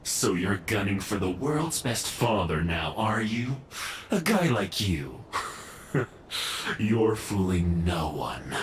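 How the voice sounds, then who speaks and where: An actor voicing a sneering male thug in a video game, mocking and slow. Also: fairly low pitch, big pitch swings, reverberant, slight background noise.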